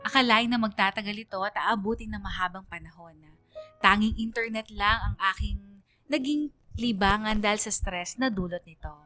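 A woman's voice: mid-range voice, a bit bright, natural voice. A woman playing a shy young Filipino woman who narrates her own story for radio, confiding and reflective. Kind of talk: scripted first-person radio narration. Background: music under the voice.

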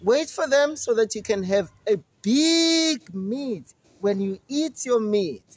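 A little young female goat pleads and bargains to save herself, as a man puts her on. The voice sits high and loud and swings widely. The storytelling is lively. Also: slow pace, no room sound, full character voice. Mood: pleading.

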